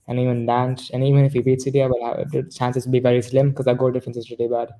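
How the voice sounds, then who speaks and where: A man's voice: medium pitch, flat delivery, fast talker, dark tone, poor audio. A man co-hosting a casual football discussion, rambling and often correcting himself mid-sentence. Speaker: football podcast co-host.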